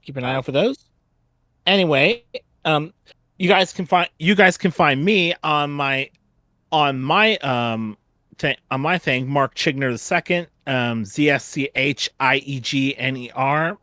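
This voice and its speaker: A man hosting a casual, unscripted fan panel, speaking haltingly and often restarting his phrases. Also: on the high side, sharp tone, dry recording. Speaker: panel host.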